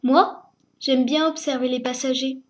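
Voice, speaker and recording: A woman reading a children's story aloud, slowly and hesitantly, stumbling over words. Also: high voice, fairly even intonation. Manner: slow, hesitant reading with fillers.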